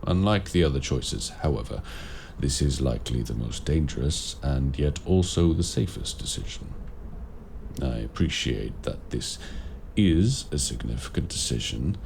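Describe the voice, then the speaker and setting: A man playing a courteous, grave warlock mentor in raven form, speaking formally and deliberately in an audio roleplay. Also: a bass voice, lively intonation, creaky, darkish tone, slight background noise, heavily acted. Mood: grave, measured. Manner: formal, measured, deliberate phrasing. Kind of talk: audio roleplay addressed to the listener.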